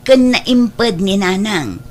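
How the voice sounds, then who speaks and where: A woman's voice: medium pitch, expressive, takes it slowly, a little mellow. A woman playing a resentful, meddling elder sister in an Ilocano family drama. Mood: insistent, nagging. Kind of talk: Ilocano radio-style family drama acting.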